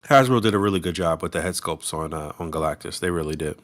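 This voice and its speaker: Low-voiced man in loose banter. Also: fast talker. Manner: loose, profane, laughing banter. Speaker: podcast co-host. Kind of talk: casual chat.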